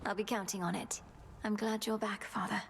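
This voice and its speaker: Casting: woman playing earnest young witch princess, mid-range gravelly voice, composed and formal, soft music under. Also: medium pitch, flat delivery, a little fast, big-room sound, slight background noise, natural voice. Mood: earnest, tender. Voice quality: gravelly creak. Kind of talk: video game dramatic acting.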